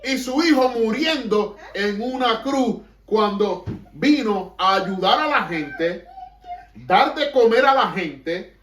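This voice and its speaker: A man's voice: high-pitched, lively intonation, slow pace, fairly bright tone, slight background noise. A man with a Puerto Rican accent preaching fervently to a congregation as pastor, mixing in English. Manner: fervent, emphatic preaching that slips between Spanish and English. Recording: echoey room, mic at a distance.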